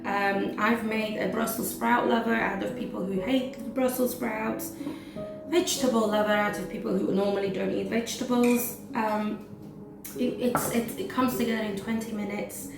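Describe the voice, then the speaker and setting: Slightly hoarse woman chatting while cooking. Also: medium pitch, fairly even intonation, normal speed. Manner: chatty, with many "um" fillers. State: slightly hoarse from losing her voice. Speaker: cooking vlogger. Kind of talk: casual cooking demonstration. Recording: echoey kitchen, mic at a distance, background music.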